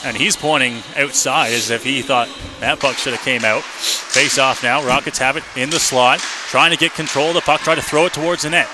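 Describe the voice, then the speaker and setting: A man doing live radio play-by-play of a hockey game, in clipped running descriptions that can build to excited shouting. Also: mid-range voice, moderate pace. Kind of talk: live radio sports commentary. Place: indoor hockey arena. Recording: noisy live arena broadcast. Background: loud rink ambience.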